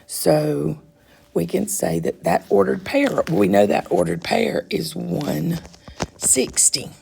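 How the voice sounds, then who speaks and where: A woman with a congested, raspy, froggy throat, patiently walking students through a math quiz step by step as their teacher. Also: lowish voice, expressive, takes it slowly, warm tone, slight background noise. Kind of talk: recorded math lesson walkthrough.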